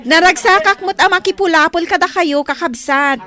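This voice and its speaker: A woman acts out a newly arrived schoolteacher in an Ilocano radio drama. She is eager and glad, with a high, bright, forceful voice. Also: moderate pace.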